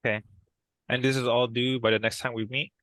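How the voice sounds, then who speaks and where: A man's voice: mid-range voice, flat delivery, a little fast, a bit bright, no echo, lightly acted. A man playing an agreeable brother in a role-played therapy session, polite, brief and a little tentative. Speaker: therapy client. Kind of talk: improvised role-play dialogue.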